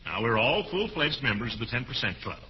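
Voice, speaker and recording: A man's voice: medium pitch, big pitch swings, a little fast, natural voice. A man playing a pompous, blustery, chuckling middle-aged uncle in a 1940s radio comedy. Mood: jovial, proud. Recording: noisy, muffled old radio recording.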